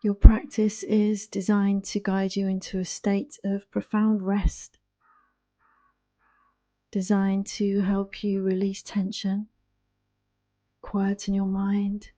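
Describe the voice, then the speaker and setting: Very soft, mellow woman guiding meditation. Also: mid-range voice, flat delivery, no room sound, poor audio. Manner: soothing, hushed, unhurried delivery. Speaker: yoga nidra guide. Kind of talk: guided relaxation meditation.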